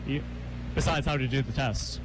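A young man hosting a live campus radio interview, chatty and quick with asides and laughs. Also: mid-range voice, over music. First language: Cantonese.

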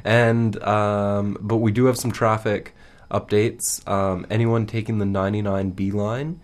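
A man co-hosting a casual, humorous radio show in a halting, mock-serious way. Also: on the low side, flat delivery.

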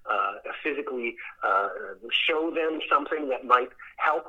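A man's voice: mid-range voice, lively intonation, unhurried, sharp tone. A man answering interview questions as a theater director, speaking in a thoughtful, rambling way and repeating words as he searches for the next one. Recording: muffled radio or phone-call sound.

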